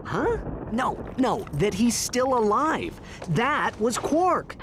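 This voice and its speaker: A man's voice: sing-song, slow, full character voice. A man voicing a brash, sarcastic young furry alien hero in a casual, youthful voice for a video game cutscene. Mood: surprised, suspicious. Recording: clearly noisy game audio with ambient rumble.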